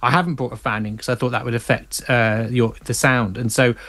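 A man's voice: mid-range voice, fairly even intonation, brisk, a bit bright. A man chatting as a radio guest from his garage at home, hesitant with many fillers and restarts. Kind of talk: casual chat.

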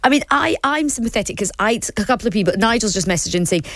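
A woman with a Glaswegian accent presenting a live radio chat show, talking in quick bursts with restarts. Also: a little high, lively intonation, a bit bright, no room sound, studio quality. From Scotland. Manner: chatty, quick restarts, laughs easily. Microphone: studio microphone.

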